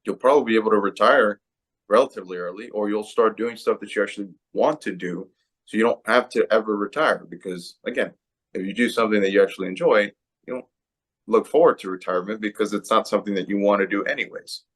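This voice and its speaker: A man's voice: on the low side, quick pace, fairly bright tone. A man co-hosting a casual podcast, talking in a loose, rambling way and often ending points with "right?". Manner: conversational, rambling, tag questions. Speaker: podcast co-host.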